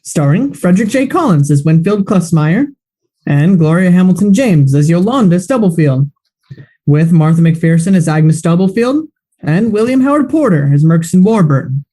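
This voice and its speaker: A formal, old-time radio announcer with a mellow, warm voice, played by a cast member. Measured and stately, with a polished announcing cadence. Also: mid-range voice, expressive, lightly acted. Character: 1940s radio director and announcer. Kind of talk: 1940s radio acting. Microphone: video call.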